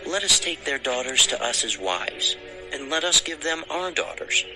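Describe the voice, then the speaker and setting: An actor playing an eager, lovestruck young prince in an audio Bible drama, earnest and entreating. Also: male voice, medium pitch, big pitch swings, moderate pace, music in the background, low-quality recording. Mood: eager persuading.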